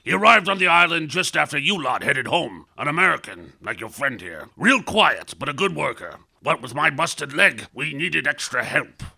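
A man voicing an older island farmer with a gravelly, raspy voice and grand, old-fashioned phrasing. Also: fairly high pitch, sing-song, normal speed, few pauses, bright, forceful tone, heavily acted. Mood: theatrical.

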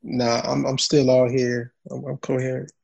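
A man's voice: mid-range voice, little pitch movement, normal speed, gravelly, darkish tone, a little hiss or hum. A man, co-hosting a podcast from home in a laid-back, slangy way. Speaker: podcast co-host. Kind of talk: casual podcast chat.

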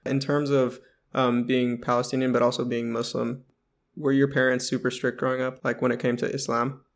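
A man interviewing a guest in a relaxed, casual way, often pausing with ums. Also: mid-range voice.

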